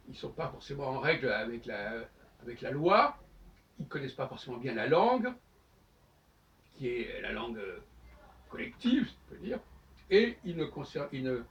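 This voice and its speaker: Halting French-speaking man lecturing from afar. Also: medium pitch. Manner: halting, many restarts and pauses. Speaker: host. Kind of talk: lecturing monologue. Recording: dull sound, from far off the mic.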